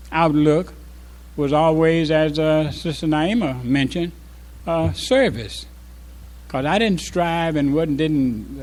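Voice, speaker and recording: An older man, a former imam, speaks informally to a congregation, reminiscing in a halting, reflective way with pauses mid-sentence. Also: fairly high pitch. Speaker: former resident imam giving an address.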